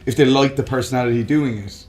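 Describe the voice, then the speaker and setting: Male podcast host, medium pitch, keen and opinionated, casual and sweary, roomy sound with faint music behind. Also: fast, a little mellow. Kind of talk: casual chat about games and films.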